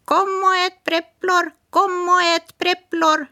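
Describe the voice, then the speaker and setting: A woman voicing a chatty female parrot with a very high, shrill squawking voice that repeats its greetings. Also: level tone, sharp tone. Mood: chirpy.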